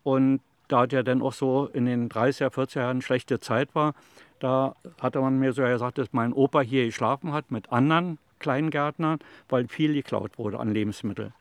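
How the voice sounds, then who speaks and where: A retired man in his seventies answers interview questions, telling stories in a calm, plain, rambling way. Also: mid-range voice, normal speed, no room sound. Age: 70s. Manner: calm, plain, rambling storyteller with fillers like "also" and "eben". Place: outdoors in a city allotment garden.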